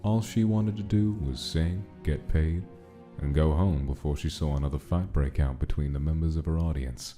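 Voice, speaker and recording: A man's voice: very deep voice, moderate pace, few pauses, mellow, faint music behind. A man reading scripted narration of a gritty gangster-era story in a comic dub. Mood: brooding, measured.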